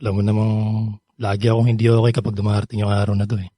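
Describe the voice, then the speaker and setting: An actor with a Filipino accent playing a grieving, guilt-ridden young husband pleading in an emotional radio drama. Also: male voice, lowish voice, flat delivery, very fast, mellow, husky, no echo, studio quality. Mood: downcast.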